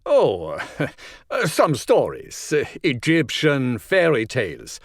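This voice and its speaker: An actor with a German accent playing an oily, guarded official in an audio play, halting and falsely polite. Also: male voice, medium pitch, wildly swooping pitch, no echo, studio quality, exaggerated voice. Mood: evasive, suspicious. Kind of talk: audio drama acting.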